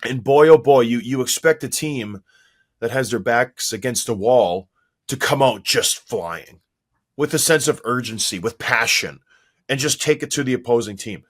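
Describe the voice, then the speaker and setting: A man in his forties hosting a hockey podcast, slightly hoarse, telling self-mocking stories in a casual, animated way. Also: mid-range voice, normal speed. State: a little hoarse. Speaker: podcast host.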